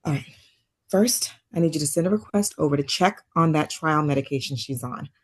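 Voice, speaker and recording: Lowish-voiced actress as a composed woman therapist, weary yet caring, measured and firm, naturalistic drama read. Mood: resolute. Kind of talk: naturalistic table read acting.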